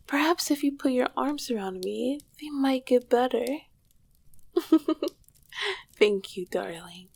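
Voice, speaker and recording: Woman acting as ancient demon queen, female, whispered ASMR roleplay, husky breath, creaky edge, slow regal delivery, tender, flirtatious. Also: a little high, a little mellow, full character voice. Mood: teasing. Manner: regal, old-fashioned, affectionate phrasing.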